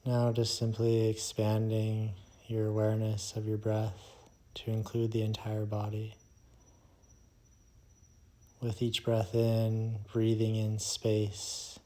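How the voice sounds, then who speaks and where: Slow, low, mellow male meditation guide. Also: monotone. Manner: slow, soothing, hushed delivery with long pauses.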